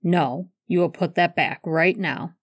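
A woman's voice: fairly low pitch, a little slow, no room sound. A woman voicing an anxious, self-deprecating man with a dry, grumbling manner in an audiobook. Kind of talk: audiobook fan fiction character dialogue.

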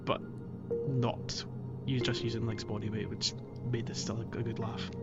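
Dull-sounding, low-pitched man chatting casually. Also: flat delivery, normal speed, over loud music. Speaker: podcast co-host. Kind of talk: casual video game discussion.

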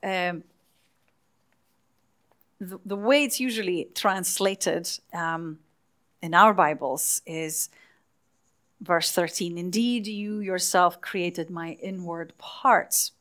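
A woman minister leading a church service, speaking softly and unhurriedly, with frequent hesitations, sometimes dropping to a near whisper. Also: fairly low pitch. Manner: soft, unhurried, hesitant with fillers. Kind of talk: leading worship and interviewing a church member.

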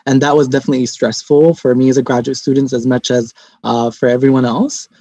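A young man from Lebanon, a guest on a podcast, answering politely and in a measured way, with some "uh" and "um". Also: medium pitch, little pitch movement, mellow, slightly rough, dry recording. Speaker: podcast guest, research coordinator. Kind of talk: interview answers. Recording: dry, dull lo-fi remote mic.

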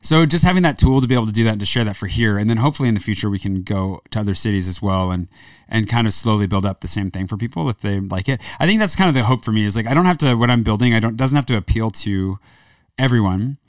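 A man's voice: lowish voice, fast, no echo, clean studio sound, recorded from a distance. A man hosting a podcast, chatting casually across a table while tasting cheese, rambling with lots of fillers and restarts. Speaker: podcast host. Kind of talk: casual chat.

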